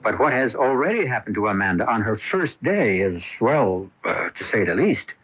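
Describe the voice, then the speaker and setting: A man playing an ominous storyteller hosting a radio mystery, with deliberate theatrical phrasing and dramatic pauses. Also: on the low side, sing-song, a bit bright, husky, full character voice. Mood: intriguing. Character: ominous storyteller man introducing an uncanny tale. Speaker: host of a radio mystery anthology. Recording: muffled AM radio broadcast recording.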